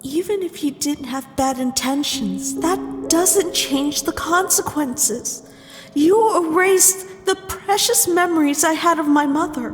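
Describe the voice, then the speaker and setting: A woman acting a betrayed, indignant young princess in a dramatic comic dub, with an accusing, emphatic delivery. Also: sits high. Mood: furious, hurt. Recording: long hall reverb under music.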